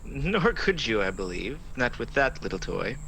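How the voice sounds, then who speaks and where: A man's voice: medium pitch, sing-song, normal speed, fairly bright tone. A man playing a sly, mocking, boastful fallen angel in a radio play, sardonic and theatrical, on a noisy home recording. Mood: taunting, tempting. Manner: smooth-talking, sardonic, theatrical.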